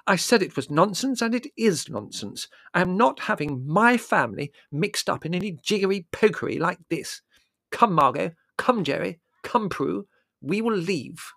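A man voicing a small, firm, no-nonsense mother who speaks in a brisk, clipped, stern manner. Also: a little high, very animated, gravelly, a bit bright, breathy edge, no echo, studio quality, voice pushed up, heavily acted. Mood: indignant.

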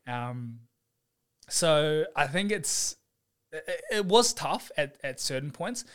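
A man's voice: a little high, slow pace, clear, resonant tone. Laid-back New guy chatting. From New Zealand. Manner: relaxed, chatty, laughs easily, lots of fillers. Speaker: guest, physiotherapist. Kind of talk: casual chat.

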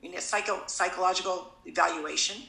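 A woman's voice: fairly low pitch, fairly even intonation, fast talker, creaky, pushed and bright, reverberant, poor audio. A woman in her fifties from Connecticut, talking earnestly in a casual conversation, rambling and often restarting her sentences. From Connecticut, USA.

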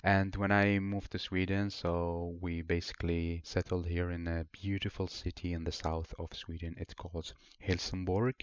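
A man in his twenties with an Iraqi Arabic accent, a native Arabic speaker, telling his own story as a podcast host in a solo monologue, earnest and reflective with frequent pauses. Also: low voice, no echo, muffled recording. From Iraq. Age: 20s.

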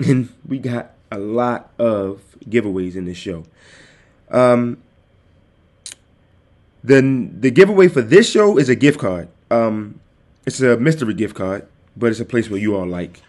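A man hosting his own podcast, talking casually and directly to his listeners in a calm, soothing voice into a desktop microphone. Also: mid-range voice. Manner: easygoing, addresses listeners directly. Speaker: podcast host. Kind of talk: casual solo podcast talk. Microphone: desktop USB microphone.

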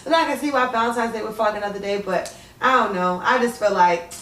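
Twenties woman, chatty and expressive, roomy sound, away from mic. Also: mid-range voice, quick pace, distant mic. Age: 20s. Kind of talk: casual group chat.

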